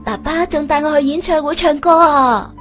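A woman voicing an excitable, pleading small girl in a scripted audio story. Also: sits high, normal speed, lo-fi sound, faint music behind. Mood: excited, earnest. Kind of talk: scripted audio drama dialogue.

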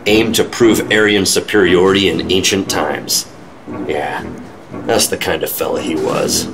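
A man's voice: low voice, fairly even intonation, a little slow, rough recording. An actor playing a hard-boiled American G-man, narrating dramatically in old-time pulp radio style with an American accent. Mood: wry, urgent. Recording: loud music under the voice.

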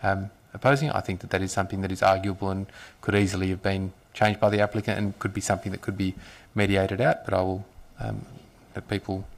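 Male councillor, low flat delivery, halting with fillers, Australian accent, desk mic in a big chamber. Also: creaky. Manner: halting, many fillers and restarts. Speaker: city councillor. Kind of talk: council debate speech. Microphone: desk microphone.

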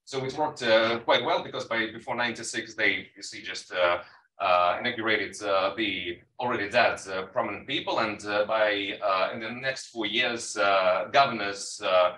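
A man presenting research at a conference panel, talking haltingly with many fillers and quick asides to the audience. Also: on the low side, pushed and bright. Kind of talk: academic presentation. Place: conference room. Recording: echoey room, distant mic.

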